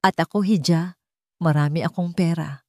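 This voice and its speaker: A woman's voice: on the low side, steady tone, normal speed, mellow, no room sound, studio quality, close to an everyday voice. Woman playing a smug older Filipino male villain. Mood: mocking menace. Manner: mocking, self-satisfied. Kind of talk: audio story character acting.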